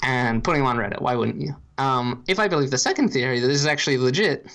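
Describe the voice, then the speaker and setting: Man, co-host, from Ireland, no accent, dry, deliberate, reasoning out loud, casual podcast chat. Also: medium pitch.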